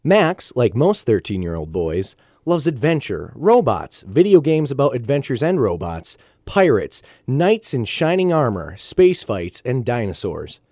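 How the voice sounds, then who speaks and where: Animated male storyteller, very lively intonation. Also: medium pitch, unhurried, few pauses, no room sound, clean studio sound. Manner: theatrical, playful, animated. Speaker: narrator. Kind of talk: scripted storybook narration for audio drama.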